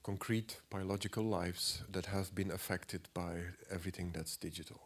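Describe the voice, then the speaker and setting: A man in his forties with a cold, giving a halting lecture as an artist, clearing his throat often and filling pauses. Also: sits low, steady tone. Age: 40s. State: has a cold.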